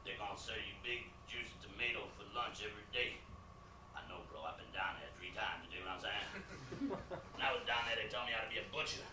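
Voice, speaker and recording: A man's voice: fairly low pitch, fast, close to an everyday voice. An actor playing a swaggering male gang veteran who coaches a new inmate in profane, streetwise slang in a theatrical monologue. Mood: boastful. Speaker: performer. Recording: echoey, distant mic, noisy ambience.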